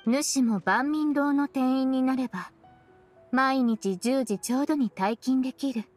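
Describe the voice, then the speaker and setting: A woman voicing a cool, stoic, blunt woman in an anime-style game, deadpan and measured, with archaic wording. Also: sits high, faint music behind. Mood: cool, earnest.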